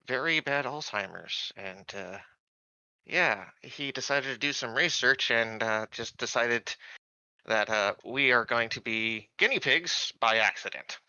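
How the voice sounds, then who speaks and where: Very bright-voiced man playing tabletop games. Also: mid-range voice, smooth, dry recording, muffled recording. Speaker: tabletop game player. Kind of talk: casual game chat, speaking for a character.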